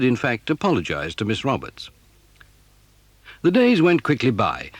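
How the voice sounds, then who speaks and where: A man's voice: mid-range voice, husky, dry recording. A man with an English accent giving scripted narration for a children's story dramatisation.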